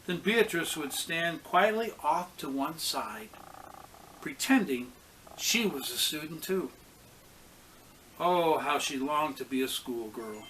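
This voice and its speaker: A man with a slightly high voice reads a children's storybook aloud. He goes very slowly and deliberately, pausing for expression. There is faint noise behind him. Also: animated, fairly bright tone, poor audio. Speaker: pastor reading a children's story.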